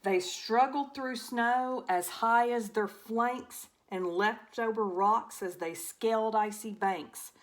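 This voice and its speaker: A woman reading a rhyming children's storybook aloud as an expressive narrator. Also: a little high, slow pace, hardly any pauses. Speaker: storybook reader.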